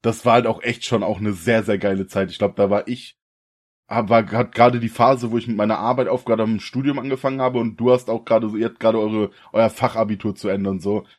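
A young man from the co-hosting a casual podcast, slangy and relaxed, often laughing. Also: fairly low pitch, quick pace. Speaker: podcast co-host. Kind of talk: casual chat.

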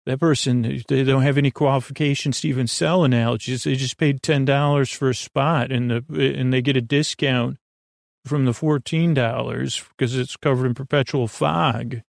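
A gravelly man with plenty of vocal fry hosts a sleep podcast in a dry, close sound. He meanders and stammers, doubling back on his words. His delivery is self-deprecating and built for bedtime listening. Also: mid-range voice, fairly even intonation, normal speed, no room sound. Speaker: podcast host. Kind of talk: rambling bedtime podcast monologue.

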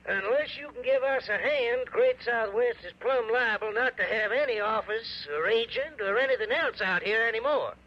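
High-voiced folksy small-town newspaperman. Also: normal speed, few pauses. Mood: urgent, folksy. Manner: folksy, colloquial, hesitant drawl. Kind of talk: 1960s radio drama acting. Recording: dull old radio broadcast recording.

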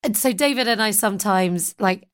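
A woman co-hosting a tabletop game podcast, chatting casually and laughing easily, her voice a little croaky today. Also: mid-range voice, normal speed. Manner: giggly, playful. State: slightly croaky voice. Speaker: podcast co-host.

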